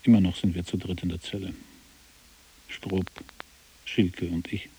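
An actor playing a somber prisoner who narrates his memoir in a German radio drama, raspy, muted and restrained. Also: sits low, little pitch movement, a little slow, warm tone, heavily acted, rough recording.

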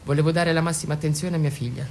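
Low-voiced distraught mother, noisy recording. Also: steady tone, rapid-fire, a little mellow. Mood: subdued, defensive. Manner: agitated, insistent, repeats herself. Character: distraught devoted mother, defensive, pleading, grieving. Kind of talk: theatrical radio drama acting.

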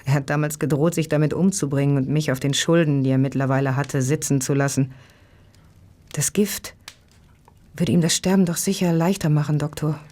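Woman playing an anxious, hushed wife. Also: low-pitched, fairly quick, close to an everyday voice. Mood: anxious, pleading. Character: anxious, pleading wife of a sick man. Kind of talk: radio play dialogue.

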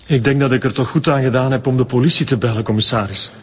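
A man's voice: medium pitch, fairly even intonation, fast talker, a little hiss or hum, close to an everyday voice. A man with a Flemish accent playing a pious, formal church sexton in a radio drama. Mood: prim, uneasy. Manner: formal, deferential. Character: pious, prim, grieving male church sexton. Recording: echoing church-like reverb, long tail.

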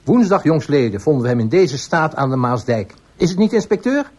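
An actor playing an eager junior police detective in a radio drama. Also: male voice, a little high, big pitch swings, brisk, a little mellow. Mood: pressing. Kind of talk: Dutch radio drama acting.

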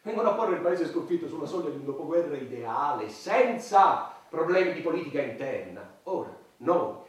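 An actor playing a wordy, self-important head of government in a satirical radio play, speechifying in a pompous, rhetorical way. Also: male voice, on the high side, big pitch swings, moderate pace. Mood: pompous, lecturing. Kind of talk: satirical stage radio drama. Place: large echoey room, recorded from afar. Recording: distant, very echoey hall, faint noise.

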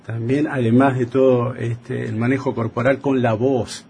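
A man's voice: medium pitch, level tone, normal speed, gravelly, darkish tone, breathy edge. An Argentine man chatting effusively as a guest on a radio show, often repeating himself for emphasis. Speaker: radio drama writer and guest. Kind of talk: casual radio chat.

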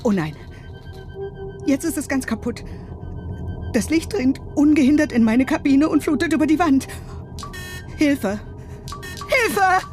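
A fussy, disdainful lady traveller speaks imperiously in a radio play. Her fairly high voice swoops in pitch, with music clearly behind it. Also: lively intonation, a little slow, pauses often, darkish tone. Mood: alarmed. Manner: imperious, affected, easily scandalised. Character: haughty, fussy, disdainful woman airship passenger. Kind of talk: German radio play dialogue.

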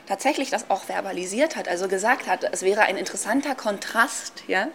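A woman's voice: mid-range voice, a little fast. A woman giving an interview, stressing her points and repeating them for emphasis. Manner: emphatic, repeating herself for effect. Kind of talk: indignant spoken commentary.

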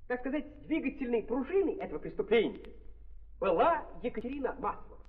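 An actor playing a smug, dim-witted prosecutor, orating pompously and haltingly in a theatrical 1930s radio drama style. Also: male voice, very high-pitched, heavily acted. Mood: pompous, declaiming. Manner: pompous, halting, repeats filler phrases. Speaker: prosecutor in a courtroom. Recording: dull old 1930s recording.

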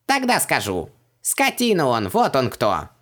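A man's voice: medium pitch, wildly swooping pitch, a little slow, bright, forceful tone, exaggerated voice. A man voicing a tiny, cheeky and sulky djinn servant in an audiobook, indignant and boastful. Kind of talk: audiobook character voice.

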